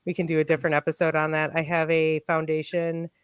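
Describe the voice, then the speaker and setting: A man's voice: a little high, monotone, fast talker, clear, resonant tone, no echo. A man speaking as a guest on a casual video-call panel, rambling and upbeat with plenty of fillers. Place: home room on video call.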